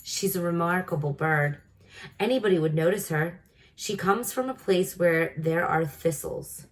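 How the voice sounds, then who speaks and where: A woman's voice: fairly low pitch, unhurried, close to an everyday voice. Polite little mouse adventurer voiced by a woman. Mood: wistful, admiring. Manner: polite, slightly formal speech. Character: tiny male mouse traveler, polite, jaunty, wistful adventurer.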